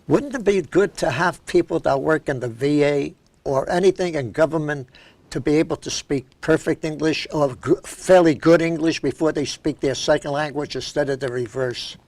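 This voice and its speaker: A man's voice: fairly high pitch, normal speed, darkish tone, no room sound. An older man from Brooklyn with a New York accent, hosting a local cable talk show and speaking bluntly with strong opinions. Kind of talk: opinionated interview chat.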